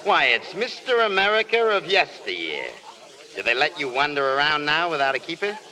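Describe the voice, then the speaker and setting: Sharp, sneering Hollywood press agent. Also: male voice, on the high side, very animated, normal speed, exaggerated voice. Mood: sarcastic. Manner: biting, sarcastic. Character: spiteful, sneering Hollywood press agent, contemptuous tough guy. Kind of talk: 1930s-40s radio drama acting.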